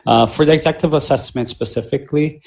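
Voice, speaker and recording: A man from Brazil with a Brazilian Portuguese accent, an admissions director answering questions at a measured pace with many fillers over a video call. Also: on the high side, vocal fry, darkish tone. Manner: measured, with frequent fillers and restarts. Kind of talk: answering questions in a virtual info session. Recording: muffled lo-fi video call audio.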